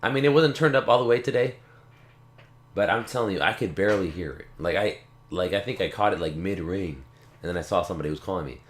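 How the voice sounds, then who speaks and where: A man hosting a casual tech video, rambling in a relaxed way and repeating himself, with a slight cough. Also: deep voice, fast. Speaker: tech channel host. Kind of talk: casual unboxing talk to camera. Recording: echoey room.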